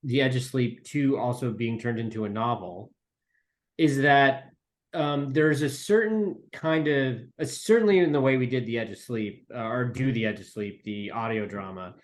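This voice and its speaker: Guy being interviewed, mid pitch, kinda hesitant, keeps circling back on what he says. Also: normal speed. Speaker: podcast guest, audio drama writer. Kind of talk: casual interview talk.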